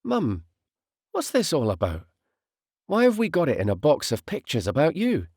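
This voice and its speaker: A man's voice: fairly high pitch, huge pitch swings, normal speed, darkish tone, breathy edge, no room sound, clean studio sound, pitched above the performer's own voice, exaggerated voice. A man voicing a curious, confused teenage boy questioning his mother in an audiobook story. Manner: questioning, puzzled.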